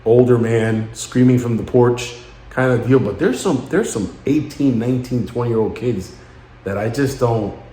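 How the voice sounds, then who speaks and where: A man in his late thirties speaking in a halting, somber and introspective way, as in a personal vlog monologue. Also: mid-range voice, warm tone, roomy sound. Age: late 30s. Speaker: vlogger.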